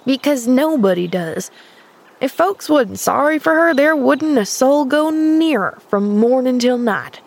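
A woman's voice: high voice, lively intonation, a little slow, creaky, pitched above the performer's own voice, heavily acted. Storybook household servant, acted by a woman: female, blunt, sour, plain-spoken, grumbles in a folksy way. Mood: sour, grumbling. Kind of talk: storybook character voice.

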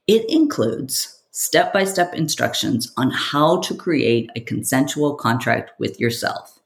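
A podcast host and coach reads a scripted monologue with theatrical flair. The voice has a lot of vocal fry and moves widely in pitch, putting questions and appeals directly to the listener.

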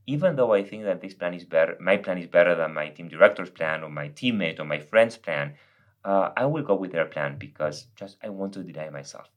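A middle-aged Argentine priest whose first language is Spanish, earnestly explaining faith in a podcast conversation. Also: male voice, deep voice. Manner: earnest, explaining with examples and quotes.

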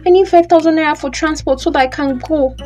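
Woman playing young nigerian mum in audio drama, high voice, repeats herself, very respectful. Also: fast, darkish tone, soft background music, lightly acted. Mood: hopeful. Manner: deferential, repetitive, pleading. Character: distressed, grateful young mother, pleading daughter.

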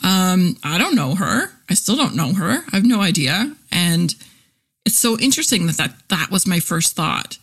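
Chatty woman in her forties, lowish voice. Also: big pitch swings, normal speed, fairly bright tone, slightly rough. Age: 40s. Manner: chatty and candid, many fillers, laughs easily. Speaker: podcast host, copywriter and content teacher. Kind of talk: casual solo podcast chat.

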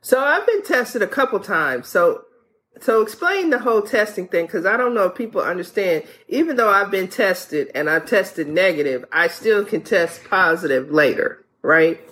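A woman's voice: mid-range voice, lively intonation, normal speed, a bit bright. A woman podiatrist, a guest on a radio call-in show, chatting and asking questions with frequent restarts.

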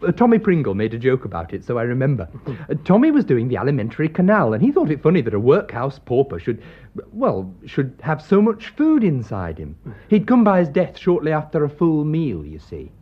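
An actor playing a naive, eager young English medical student in a radio drama, boyish, hesitant and chatty, with an English accent. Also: male voice, a little high, huge pitch swings, brisk, breathy edge, exaggerated voice. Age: young adult. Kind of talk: British radio drama acting. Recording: dull band-limited radio recording.

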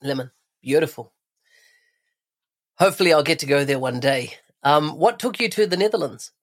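A man's voice: fairly high pitch, animated, normal speed. A man hosting a podcast interview, introducing and questioning in a conversational way. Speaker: podcast host.

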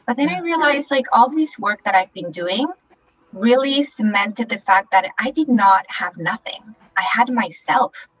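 Woman from Chile, life coach, bright voice, confident and upbeat storytelling. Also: mid-range voice, muffled recording. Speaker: life coach and Reiki master. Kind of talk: podcast interview guest.

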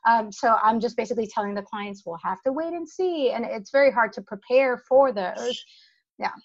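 A woman's voice: on the high side, lively intonation, normal speed, highs cut off. A woman in her thirties from the Dominican Republic, an immigration attorney talking through her work with frequent restarts. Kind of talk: conversational chat.

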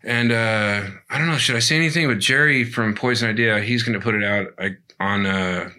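A middle-aged punk band member in casual chat, rambling and swearing with lots of fillers. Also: male voice, on the low side, brisk, bright, forceful tone.

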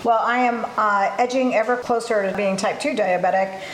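A woman's voice: mid-range voice, steady tone, moderate pace. Give me a woman host asking a guest cook friendly questions, recorded in an echoey room. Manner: warm, curious, chatty. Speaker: cooking show host. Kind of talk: casual interview chat. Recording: echoey kitchen with faint noise.

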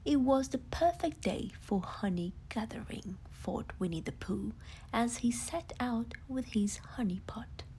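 A woman telling a bedtime story in a hushed, soothing whisper. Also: a little high, expressive, measured pace, a little hiss or hum.

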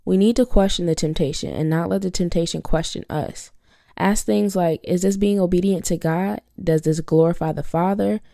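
A woman's voice: on the low side, fairly even intonation, moderate pace, vocal fry, dark tone, dry recording, studio quality. A young woman hosting a faith-based podcast, congested from a cold, teaching in an earnest, preachy way and repeating her key phrases. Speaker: podcast host.

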